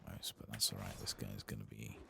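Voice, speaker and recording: A man speaks in an extremely deep, soft voice that crackles heavily with vocal fry. He murmurs an unhurried, musing ASMR commentary over a game, sometimes slipping toward a whisper. Faint noise sits behind him. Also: lively intonation. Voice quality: soft, hushed, breathy at times. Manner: unhurried, musing, murmuring to himself while playing. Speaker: gaming video creator.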